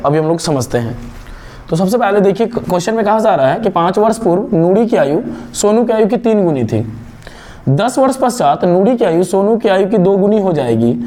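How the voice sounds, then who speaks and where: A young man from India teaching a step-by-step math lesson, explaining slowly and asking and answering his own questions. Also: a little high, warm tone.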